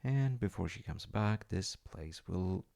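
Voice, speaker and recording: A man playing a polite, eager wizard fiance in an ASMR audio roleplay, with theatrical delivery and soft muttered asides. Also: low voice, animated, slow pace, creaky, full character voice. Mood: muttering to himself. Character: eager, secretly magical wizard fiance running an enchantment business.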